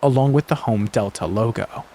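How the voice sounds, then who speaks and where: A man's voice: mid-range voice, moderate pace, warm tone, slight background noise. A man narrating an analytical video essay, scripted but with casual asides.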